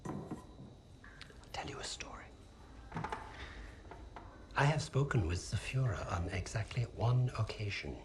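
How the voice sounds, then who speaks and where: An actor playing an arrogant officer who interrogates prisoners in a smooth, condescending, measured way. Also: male voice, on the low side, noisy background. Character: cold arrogant male Nazi officer interrogator. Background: music.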